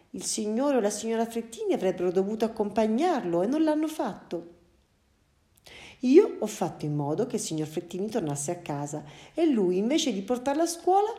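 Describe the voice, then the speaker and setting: Lady voicing a grumpy hungry cat muttering to himself, soft warm tone, echoey room. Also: a little high, voice pushed up. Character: hungry grumbling house cat, male. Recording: roomy echo.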